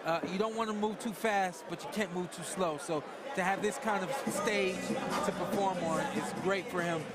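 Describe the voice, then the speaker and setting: Male color analyst, high voice, relaxed conversational breakdown, loud crowd and music. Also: moderate pace. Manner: casual, conversational analysis with fillers. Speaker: color commentator. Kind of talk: fight analysis commentary.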